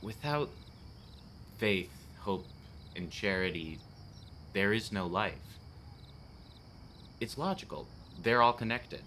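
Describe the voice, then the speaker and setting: A man's voice: fairly low pitch, lively intonation, slow pace, pauses often, sharp tone, slight background noise, full character voice. A man acting an earnest, lonely policeman in a radio play. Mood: probing, earnest. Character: earnest lonely policeman, grieving his dead fiancée.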